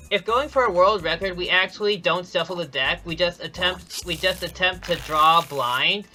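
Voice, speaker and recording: Man, speedrun commentary, slightly higher voice, casual, stops and restarts sentences, clear game music behind. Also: normal speed, pushed and bright, low-quality recording. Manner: explanatory, frequent restarts and self-corrections. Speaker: speedrunner commentating his own run.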